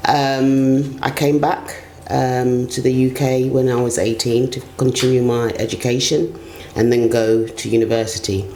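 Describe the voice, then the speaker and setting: A woman's voice: rumbling low, flat delivery, measured pace, warm tone. A middle-aged woman, giving reflective, conversational answers as an interview guest. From Ghana and the UK. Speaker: interview guest, senior HR executive. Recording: roomy echo, clear background noise.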